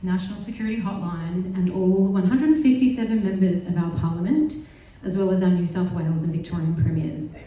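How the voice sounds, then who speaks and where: A woman's voice: mid-range voice, warm tone, slight background noise. A woman from Australia with an Australian accent giving an earnest, determined prepared speech on a panel, with light self-deprecating asides. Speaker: panel speaker. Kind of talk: prepared speech at a live event. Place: large synagogue hall. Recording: very echoey hall from far off.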